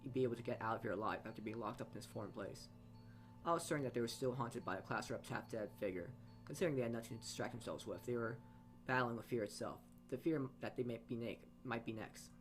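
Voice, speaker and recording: Male let's player, mid-pitched, narrating visual novel text live, tripping over words and rereading, music clearly behind him. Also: fast. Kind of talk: live read-aloud narration with side comments.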